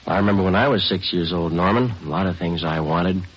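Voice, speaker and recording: An actor playing a calm, patient police detective who narrates his case tersely and matter-of-factly in a 1950s radio police drama. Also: low voice, fast talker, slightly rough. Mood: patient, firm. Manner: terse, deadpan, matter-of-fact. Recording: dull vintage radio recording with music.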